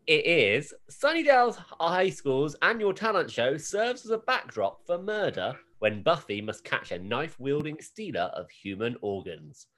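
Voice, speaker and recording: Playful co-host, very animated intonation. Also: mid-range voice, moderate pace, hardly any pauses. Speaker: podcast co-host. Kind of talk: casual banter about a TV episode.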